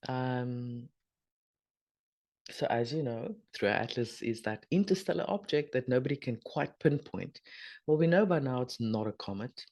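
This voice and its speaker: A middle-aged woman, hoarse and husky from a sore throat, chatting casually as host of a spiritual video update with rambling, trailing asides. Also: rumbling low, mellow. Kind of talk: casual spoken energy update.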